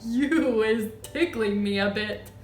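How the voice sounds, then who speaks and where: Storybook giant, male female performer: friendly, excitable, muddled talker, slow and exclaiming, with music behind. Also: a little high. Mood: cautioning. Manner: muddled grammar with invented words, exclaiming. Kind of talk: children's storybook character reading. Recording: roomy echo, music behind.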